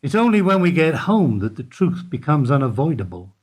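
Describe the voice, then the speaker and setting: An actor as a husky, guarded bank clerk. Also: male voice, fairly high pitch, very animated, fairly quick. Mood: weary, bitter. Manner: careful, noncommittal. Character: guarded, world-weary male small-town bank employee. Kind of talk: radio play dialogue.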